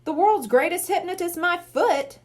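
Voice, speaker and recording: A woman's voice: very high voice, moderate pace, voice pushed up. A woman reading a storybook as a worried, exasperated young boy who speaks emphatically and in disbelief. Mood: indignant, incredulous. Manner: emphatic, incredulous.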